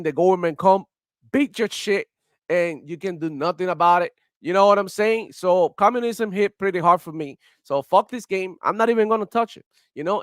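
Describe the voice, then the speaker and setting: A man's voice: sits high, a bit bright, dry recording, clean studio sound. A young man from Cuba with a heavy Cuban Spanish accent, rambling heatedly in casual live-stream commentary. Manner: rambling, heated, many fillers and restarts. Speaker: gaming streamer.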